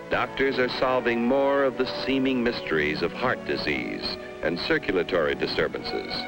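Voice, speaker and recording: Animated 1950s American male film narrator. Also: fairly low pitch, rough recording. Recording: vintage 1950s film soundtrack with music.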